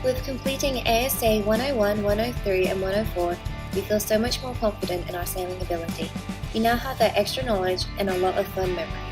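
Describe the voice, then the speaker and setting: A woman's voice: medium pitch, fast, loud music behind the voice. Cheerful English woman narrating a vlog. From England. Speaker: sailing vlogger narrating.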